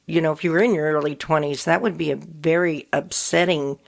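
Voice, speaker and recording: Low-voiced woman co-host, measured. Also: steady tone, vocal fry. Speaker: podcast co-host. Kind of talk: casual chat.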